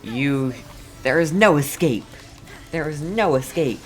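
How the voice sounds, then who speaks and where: A player giving casual, halting commentary on a video game while playing, reacting in short murmured asides. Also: medium pitch, expressive, faint music behind. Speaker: game streamer. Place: voice-over on game footage, game music behind.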